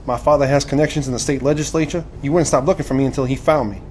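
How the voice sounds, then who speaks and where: Earnest young male recruit man acting, nineteenth-century audio drama. Medium pitch, formal and courteous, faint background noise. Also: fairly even intonation, racing, a little mellow, close to an everyday voice. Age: 20s. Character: earnest, polite young gentleman recruit in 1871.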